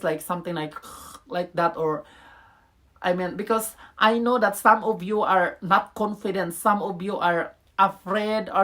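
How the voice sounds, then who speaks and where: A woman from the Philippines with a Filipino accent, a native Tagalog speaker, giving casual rambling advice to viewers in a vlog. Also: on the low side. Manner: rambling, many restarts, addresses viewers as guys. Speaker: vlogger.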